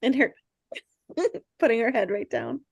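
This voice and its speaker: A woman's voice: a little high, lively intonation, a little slow, breathy edge, dry recording. A woman talking casually and thoughtfully in a group discussion, as one of its hosts. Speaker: table read host who cast the reading.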